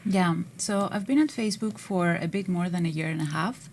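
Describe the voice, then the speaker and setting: A woman speaks in a lowish voice as an engineering manager on a panel. She answers thoughtfully, pausing often with small fillers as she gathers her words. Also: darkish tone, slight background noise. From Greece. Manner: thoughtful, with frequent hesitations.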